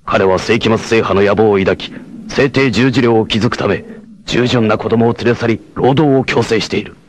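A man's voice: fairly low pitch, normal speed, dry recording, natural voice. A man voicing a noble, self-sacrificing blind warrior leader in a 1980s anime, with a solemn, formal, declamatory delivery. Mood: earnest, grave. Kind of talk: 1980s anime martial-arts voice acting.

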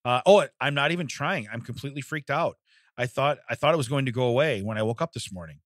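A man's voice: medium pitch, lively intonation, quick pace, a bit bright, dry recording, studio quality. Laughing man reading news on a podcast. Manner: rambling, laughs often, reads news aloud. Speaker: podcast co-host. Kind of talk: casual comedic podcast chat. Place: podcast studio. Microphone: studio microphone.

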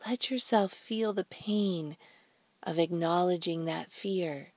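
A woman leading a guided meditation in a soft, breathy, soothing voice, speaking deliberately in short phrases with pauses. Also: fairly low pitch, no room sound. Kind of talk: slow guided meditation narration.

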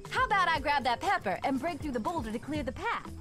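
A woman's voice: high voice, sing-song, fast talker. An actress playing a sensible female hippo in a video game, sassy and no-nonsense. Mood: skeptical. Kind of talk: animated video game acting. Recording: game music behind the voice.

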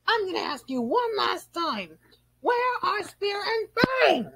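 A man voicing a manic, hot-tempered little cartoon creature, a taunting bully with a shrill, theatrical delivery. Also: sing-song, takes it slowly, gravelly, pushed and bright, a little hiss or hum, heavily acted. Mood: angry. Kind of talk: cartoon villain voice reel.

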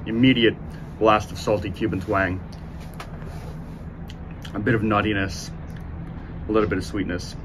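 A man in his twenties giving a casual, unhurried review to camera, speaking with enthusiastic praise. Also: fairly low pitch, steady tone. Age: 20s. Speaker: cigar reviewer, host. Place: outdoors on a sunny porch by windows. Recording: outdoor ambient noise.

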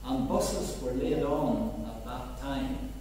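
A man in his eighties, a retired minister, telling gentle anecdotes in a sermon and chuckling at his own jokes, standing at a lectern in a large church. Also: medium pitch, slow pace, slight background noise. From Northern Ireland. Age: 80s. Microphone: distant room mic. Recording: echoey church heard from far off.

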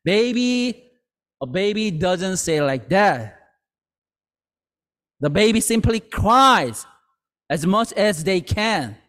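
Slow earnest pastor in a reverberant hall. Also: male voice, high-pitched, sing-song, fairly bright tone. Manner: slow, earnest, with halting restarts. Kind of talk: sermon. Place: church sanctuary.

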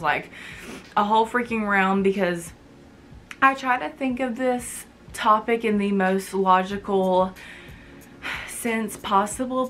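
A woman's voice: medium pitch, fairly bright tone, a little hiss or hum. A woman of twenty chatting casually to camera from her college bedroom, frank and full of fillers. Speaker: vlogger.

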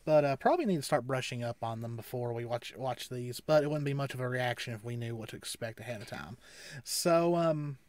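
A man's voice: mid-range voice, brisk, darkish tone, dry recording. A man in his thirties chatting casually as he hosts a reaction video. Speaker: reaction show host. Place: small indoor room.

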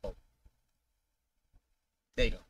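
A young man hosting a casual gaming reaction video, commenting in an excitable, slangy way. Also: takes it slowly, slight background noise. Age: young adult. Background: game trailer music.

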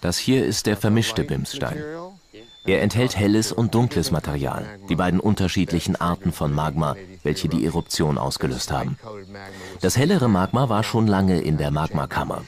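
A man's voice: low-pitched, lively intonation, moderate pace, a crowd in the background. A man doing an explanatory documentary voice-over as an expert's translator. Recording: other voices audible underneath.

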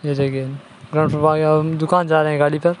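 A man's voice: a little high, flat delivery, quick pace, slight background noise, rough recording. Young man touring his shop on camera. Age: young adult. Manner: plain tour-guide pointing out sights. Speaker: vlogger. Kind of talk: casual walk-through vlog. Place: walking from home to a sari shop, indoors and out. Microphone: handheld phone camera.

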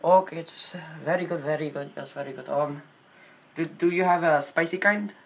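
A man's voice: on the high side, fairly even intonation, normal speed, a bit bright, close to an everyday voice. A young man playing a polite, earnest, apologetic Indian caller who hesitates with many ums. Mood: earnest, hesitant. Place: small bedroom. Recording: noisy amateur phone-video recording.

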